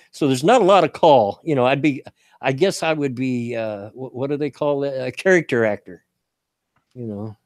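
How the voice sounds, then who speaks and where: A man's voice: medium pitch, moderate pace, long pauses, no echo. An older man with a scratchy voice and a Hoosier-Kentucky accent, hosting a casual stream chat and laughing often. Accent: Southern Indiana and Kentucky. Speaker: show host.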